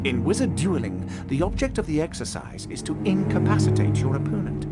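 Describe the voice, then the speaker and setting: A man's voice: fairly high pitch, big pitch swings, fairly quick, loud music behind the voice. An actor playing a vain, theatrical male duelling teacher in a video game, pompous in manner. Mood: showy, pompous. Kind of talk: video game character acting.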